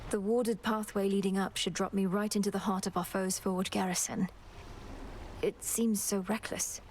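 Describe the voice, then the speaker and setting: A woman's voice: medium pitch, flat delivery, normal speed, darkish tone, a little hiss or hum, lightly acted. A woman playing a dutiful young witch princess in a video game, formal, polite and resolute. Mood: earnest. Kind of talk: scripted video game dialogue.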